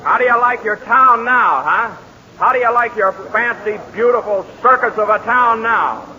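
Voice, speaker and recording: An actor playing a sardonic, cynical pollster in a 1940s radio drama. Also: male voice, extremely high pitch, normal speed, sharp tone, heavily acted. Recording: lo-fi 1940s radio broadcast with crowd.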